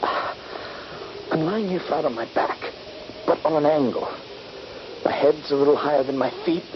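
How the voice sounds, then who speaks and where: A man's voice: a little high, a little slow, close to an everyday voice. An actor playing a trapped, injured soldier who also narrates his ordeal in a vintage radio drama. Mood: dazed, strained. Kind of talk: radio drama acting and first-person narration. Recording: dull, noisy vintage radio recording.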